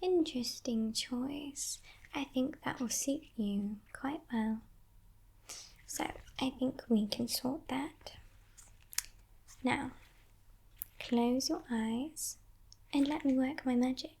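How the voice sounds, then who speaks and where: A woman voicing a playful, menacing female demon in a breathy, teasing whisper for an ASMR roleplay. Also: high voice, full character voice, rough recording. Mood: soothing, sly. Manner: teasing, condescending, softly sinister, unhurried.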